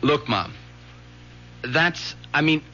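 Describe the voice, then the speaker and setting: A man's voice: fairly high pitch, lively intonation, slow, sharp tone, exaggerated voice. An actor playing a hesitant, loyal young man, a student son, in an old radio drama, sounding evasive. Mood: uneasy, evasive. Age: young adult. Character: uneasy, loyal student son, about twenty. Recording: muffled, hissy old radio recording.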